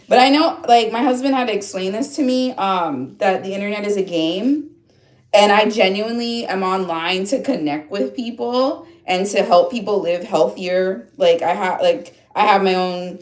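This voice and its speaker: Chatty woman in her thirties livestreaming. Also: mid-range voice. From upstate New York, USA. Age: 30s. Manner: chatty, rambling, lots of fillers and restarts. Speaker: livestream host. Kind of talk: casual livestream chat reading comments. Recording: echoey room.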